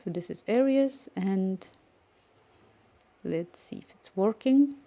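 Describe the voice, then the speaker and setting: Softly mellow woman coding live. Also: mid-range voice, lively intonation, takes it slowly. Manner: thinking aloud, reading chat, many restarts. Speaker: coding streamer. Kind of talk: live-stream coding chat.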